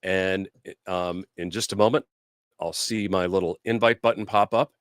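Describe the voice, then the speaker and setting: Low-voiced man hosting a live demo. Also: steady tone, normal speed, fairly bright tone, no echo, studio quality. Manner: informal, conversational, explanatory. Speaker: host and product demonstrator. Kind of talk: casual live product demo and interview.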